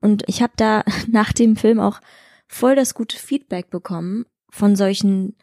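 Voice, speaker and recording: Mellow, candid woman in conversation. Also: medium pitch, unhurried, dry recording, clean studio sound. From Chemnitz, Germany. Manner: candid, colloquial. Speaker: actress, interview guest. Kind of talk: casual interview talk.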